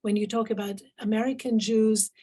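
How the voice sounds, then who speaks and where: Woman, from Israel, Arabic native speaker, middle-aged, slightly husky, thoughtful interview answers, many restarts. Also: medium pitch, fairly even intonation, moderate pace, warm tone. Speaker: professor guest.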